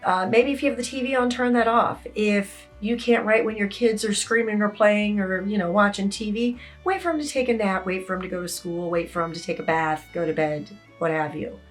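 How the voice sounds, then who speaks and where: Woman, raspy, hoarse, chatty, conversational vlog, middle pitch, faint music underneath. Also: a little fast, hardly any pauses. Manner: chatty, conversational, lots of "you know". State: hoarse from yelling. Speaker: indie romance author. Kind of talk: casual talking-head advice vlog. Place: home office with open windows.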